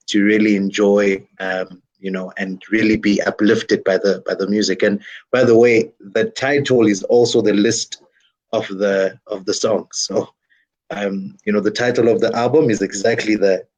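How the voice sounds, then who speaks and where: A man's voice: lowish voice, a little mellow, dull audio. A man from DR Congo whose first language is French, a worship leader talking thoughtfully as an interview guest, with frequent "you know" fillers. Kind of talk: reflective interview talk.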